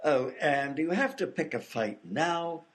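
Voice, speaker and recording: A man plays a proper gentleman inventor in a radio comedy. The voice is high and very varied in pitch, with a fussy, exasperated manner. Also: animated, a little slow.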